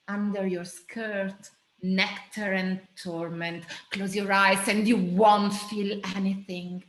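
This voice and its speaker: A woman's voice: mid-range voice, fairly bright tone. A woman from Italy with an Italian accent, a poet speaking and reciting on an online call. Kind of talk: poetry recitation and brief greetings. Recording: echoey room over online call.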